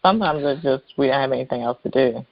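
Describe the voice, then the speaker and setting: A middle-aged woman from Savannah, Georgia, talking over a slightly dull call line. She plays down her own schooling in a modest, halting way, restarting her sentences often. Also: a bass voice, vocal fry, crowd noise. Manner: modest and self-deprecating, talks in restarts. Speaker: guest. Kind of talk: casual conversation.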